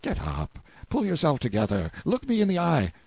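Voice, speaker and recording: A man's voice: on the high side, wildly swooping pitch, moderate pace, gravelly, a little mellow, breathy edge, pitched above the performer's own voice, heavily acted. A man playing a brash, sardonic, boastful hero in an audiobook, with emphatic, exclamatory delivery. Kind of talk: audiobook character acting.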